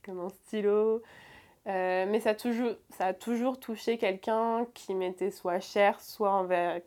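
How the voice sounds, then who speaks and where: A young woman in her twenties speaks at a middling pitch about her past, pausing often and restarting sentences. Her delivery is halting and full of fillers. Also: darkish tone. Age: 20s. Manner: hesitant, many fillers and restarts. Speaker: guest. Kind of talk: personal confession in conversation.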